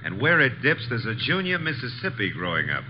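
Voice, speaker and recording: An American actor playing a breezy, wisecracking detective's assistant in a 1950s radio drama. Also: male voice, mid-range voice, sing-song, fairly quick, natural voice. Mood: wry, uneasy. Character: wisecracking, easygoing male detective's legman. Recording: noisy, muffled vintage radio recording.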